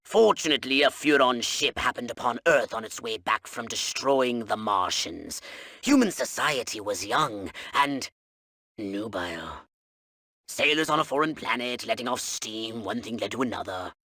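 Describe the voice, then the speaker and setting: A man's voice: mid-range voice, lively intonation, normal speed, pushed and bright, no room sound, clean studio sound. A man playing a pompous, scheming elderly alien commander in a video game, speaking in a theatrical, grandly enunciated manner. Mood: grandly explaining.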